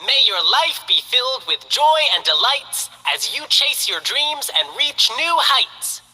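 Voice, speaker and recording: A woman's voice: sits high, sing-song, a little slow, bright, forceful tone, big-room sound, heavily acted, poor audio. An actress playing a cheerful, playful young female lion dancer in a game, upbeat and chatty.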